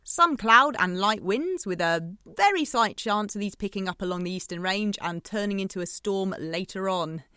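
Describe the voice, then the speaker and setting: A woman's voice: medium pitch, lively intonation, moderate pace, few pauses, bright, forceful tone, clear, resonant tone, no room sound. A woman playing a chatty, needy late-night radio DJ in a scripted drama, playful and theatrical, coaxing her listeners. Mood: hopeful. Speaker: radio host.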